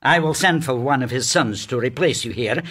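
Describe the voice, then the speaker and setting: A man's voice: mid-range voice, moderate pace, a bit bright, husky. An actor playing a stern, sarcastic middle-aged father and businessman in a formal period radio drama. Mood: cold.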